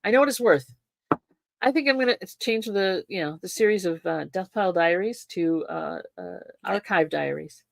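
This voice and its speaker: A woman's voice: lowish voice, moderate pace, gravelly, no room sound. A woman in her sixties co-hosting a casual chat, repeating catchphrases and laughing often in playful banter. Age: 60s. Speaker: co-host. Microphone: desk microphone.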